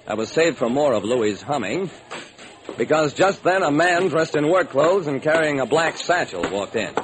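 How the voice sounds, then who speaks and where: A man's voice: on the high side, big pitch swings. An actor playing a shrewd, wry private detective who narrates his own case in an old-time radio drama. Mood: wry, brisk. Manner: wry, brisk storytelling. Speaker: narrator and lead character. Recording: slightly noisy old radio recording.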